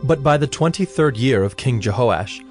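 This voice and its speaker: A man's voice: mid-range voice, expressive, moderate pace. Solemn male scripture narrator over faint music.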